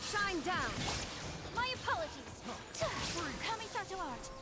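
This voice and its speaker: A woman's voice: very high-pitched, big pitch swings, a little slow, full character voice. An actress playing a graceful young female anime game fighter calling out battle lines. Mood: poised, combative. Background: loud game music, battle effects.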